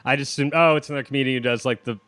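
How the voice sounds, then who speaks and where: A man with a mid-pitched voice hosts a casual interview. He rambles in a joking, self-deprecating way, and the sound is dry and close, with little room around it. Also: fairly even intonation, rapid-fire, fairly bright tone, no room sound. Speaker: podcast host interviewing a comedian.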